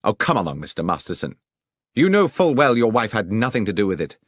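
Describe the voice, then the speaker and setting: A man performs an audiobook detective with a British accent and a lowish, very lively voice. He is coolly confident and incisive, laying out his reasoning with precise, commanding assurance. The sound is dry and intimate. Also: fairly quick, no echo. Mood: accusing. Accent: British English. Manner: precise, assured explanatory delivery.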